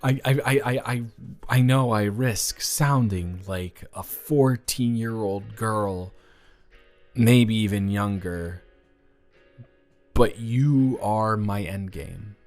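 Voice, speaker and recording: A nervous, earnest teenage boy in love, acted by a man, speaks in a fairly low voice. He stammers and rambles, halting and self-deprecating, as in an intimate romantic audio play. Music plays behind him. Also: darkish tone. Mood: smitten, sincere.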